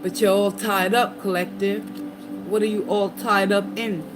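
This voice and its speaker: A woman's voice: mid-range voice, little pitch movement, normal speed, over music. A woman giving a tarot reading, reading card meanings aloud and dropping in casual asides to her viewers. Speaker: tarot reader. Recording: noticeable background noise.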